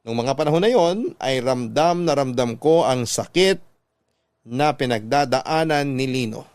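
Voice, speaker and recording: A man's voice: mid-range voice, lively intonation, measured pace, a little mellow, dry recording. A man narrating a scripted first-person story in classic radio storytelling style. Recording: dry studio sound with music.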